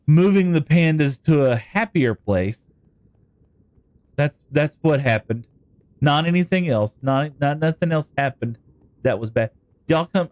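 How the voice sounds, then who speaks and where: Man, Texan accent, live-stream chatter, rambling, jokey, mid pitch, dry close sound. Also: darkish tone, no echo. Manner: rambling, jokey, talks to chat. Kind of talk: live-stream gaming chat.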